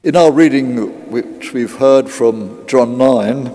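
A man preaching a sermon in a large cathedral, speaking in measured short phrases with deliberate pauses. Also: medium pitch, warm tone. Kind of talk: sermon in a church service. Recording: cavernous cathedral reverb.

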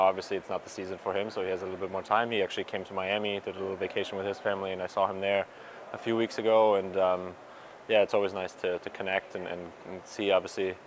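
A young man with a low, level voice answers a cluster of reporters after a match. He talks haltingly, full of ums and restarts, into handheld mics held close. A faint hum of the stadium sits behind him. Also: low-quality recording. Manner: hesitant, many fillers and restarts. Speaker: pro soccer player. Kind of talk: postgame media scrum. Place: stadium media area in front of sponsor backdrop. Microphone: reporters' handheld mics and recorders held close.

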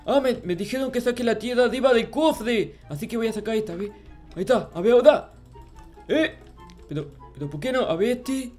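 A man's voice: extremely high pitch, expressive, fairly quick, a little mellow, faint music behind. A man voicing a childish, clueless, grumpy little game character, stammering and repeating himself in a Minecraft roleplay. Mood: puzzled, complaining. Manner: babyish, stammering, repetitive, easily flustered. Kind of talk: improvised Minecraft roleplay narration.

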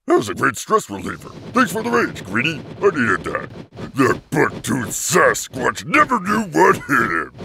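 A man's voice: mid-range voice, wildly swooping pitch, measured pace, vocal fry, background noise, exaggerated voice. A man voicing a huge grumpy turtle monster king in a fan cartoon, blustering, threatening and insulting. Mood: smug. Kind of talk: fan cartoon comedy voice acting.

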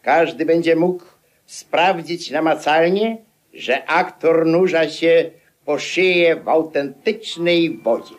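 A pompous showman in a radio play. He declaims at a slow, deliberate pace in a fairly high voice. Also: slight background noise. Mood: pompous, proclaiming. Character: pompous theatre barker announcing a tragic vaudeville.

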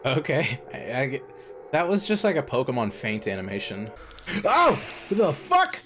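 A young man live-streaming a horror game on a headset mic, joking and cursing, with loud excited reactions. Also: medium pitch, normal speed, a little hiss or hum. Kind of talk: casual live-stream commentary.

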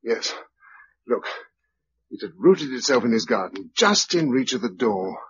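A level-headed British survivor in a radio play. The man is terse and thoughtful, with a rough-edged voice and lively pitch, on a dull recording. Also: a little slow. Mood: grave. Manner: clipped and understated. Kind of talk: mid-century British radio drama acting.

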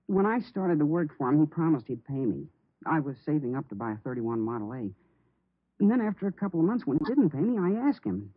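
An actor playing a nervous, polite teenage stepson in an American 1950s radio drama, earnest and hesitant. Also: male voice, fairly high pitch, very animated, fairly quick, husky, no echo. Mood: polite, troubled. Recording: muffled, narrow-band vintage radio recording.

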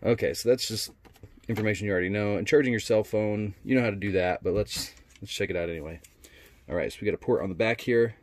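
Low-voiced man narrating a casual unboxing. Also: quick pace, no echo. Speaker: product reviewer. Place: indoors at a wooden workbench.

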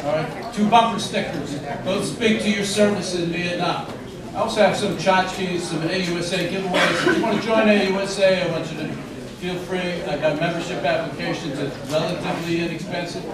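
A man's voice: sits high, steady tone, a little fast. Older man announcing in an echoey hall. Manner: reads names, hesitant fillers. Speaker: ceremony presider. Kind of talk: formal ceremony announcements. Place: large community hall. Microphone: handheld mic, far camera. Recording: echoey hall, distant crowd.

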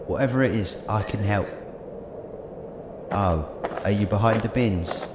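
A man's voice: on the low side, measured pace, close to an everyday voice. A man playing an eccentric, earnest, reassuring time-travelling hero in an amateur audio drama. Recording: echoey amateur audio drama, very noisy background.

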